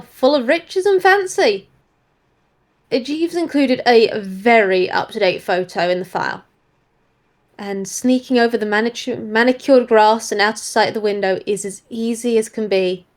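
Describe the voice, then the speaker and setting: A woman with a fairly high voice narrates a tabletop role-play story. She reads aloud expressively, as both player and storyteller.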